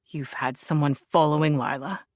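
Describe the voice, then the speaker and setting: A woman voicing a gruff, curt young aristocratic man in a lowered voice. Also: fairly even intonation, normal speed, no echo, clean studio sound.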